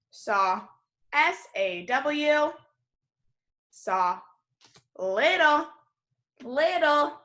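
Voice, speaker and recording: Bright, slow-paced American woman teaching children. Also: a little high. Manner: encouraging, exaggerated clear enunciation. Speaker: kindergarten teacher. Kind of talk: distance lesson for young children, call-and-repeat drill.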